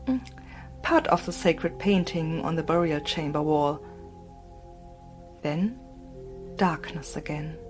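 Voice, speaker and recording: A woman reading a literary story as an audiobook narrator, in a breathy, hushed, dramatic storytelling manner. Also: on the low side.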